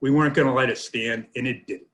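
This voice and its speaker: A man's voice: mid-range voice, steady tone, quick pace, fairly bright tone. A man co-hosting a union talk show, speaking earnestly and indignantly with a few fillers. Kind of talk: casual talk show commentary.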